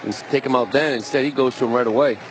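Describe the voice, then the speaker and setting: Very fast-talking male baseball analyst. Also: medium pitch, poor audio. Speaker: baseball color commentator. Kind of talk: live sports analysis commentary. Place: stadium broadcast booth. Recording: faint stadium noise behind voice. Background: faint ballpark ambience.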